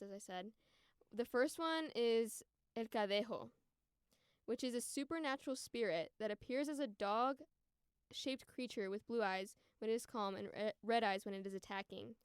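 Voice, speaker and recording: Young American woman, clear higher voice. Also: moderate pace, no echo, clean studio sound. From United States. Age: around 20. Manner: informal, frequent "like" and "you know". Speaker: podcast guest. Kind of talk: casual conversational chat and storytelling.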